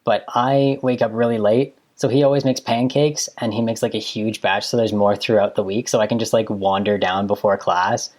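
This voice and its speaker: A teenage girl, a high-school student guest, answering eagerly over a video call. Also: fairly even intonation, normal speed, few pauses, darkish tone. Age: teens.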